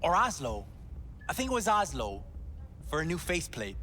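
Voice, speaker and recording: An actor playing a nervous young male club worker who hedges and stumbles through his answers over soft club music. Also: fairly high pitch, huge pitch swings, normal speed, slight background noise, heavily acted. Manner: hesitant, evasive, fidgety.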